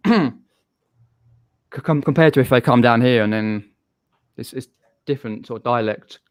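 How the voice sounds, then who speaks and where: A man's voice: mid-range voice, a little slow, smooth, dry recording, studio quality. A man with a southern English accent hosting a casual live-stream chat, rambling and chatty with frequent restarts. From southern England.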